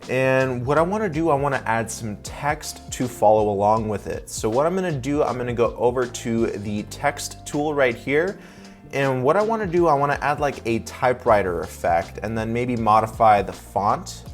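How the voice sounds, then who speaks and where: A man's voice: medium pitch, animated, normal speed, faint music behind. A young man hosting a sponsored video-editing tutorial, explaining each step in an upbeat, casual way. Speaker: video editor and tutorial host. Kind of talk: sponsored software tutorial walkthrough.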